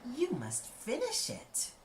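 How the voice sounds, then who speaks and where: A man's voice: very high voice, huge pitch swings, pitched above the performer's own voice, heavily acted. A man voicing Grief, a relentless, witch-like old woman with a blunt, insistent way of speaking, in an audio play. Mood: quiet, insistent. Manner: blunt, drawn-out, insistent delivery. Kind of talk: audio play character acting. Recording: echoey, with noise behind.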